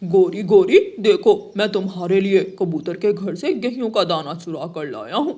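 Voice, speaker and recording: A man's voice: sits high, animated, normal speed, warm tone. A man voicing a sneaky, boastful father crow in a children's moral cartoon.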